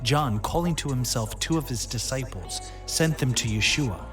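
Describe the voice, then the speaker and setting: A man's voice: mid-range voice, animated, moderate pace. A man giving scripted, dramatized Bible narration as an audio drama narrator. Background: loud music.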